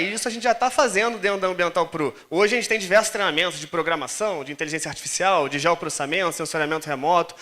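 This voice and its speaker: A Brazilian man speaks as a conference lecturer in an informal, explanatory style. His voice sits high for a man and has a forceful, bright edge. He moves along quickly, with colloquial phrasing and frequent little check-in questions. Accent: Brazilian Portuguese. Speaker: conference speaker and course instructor.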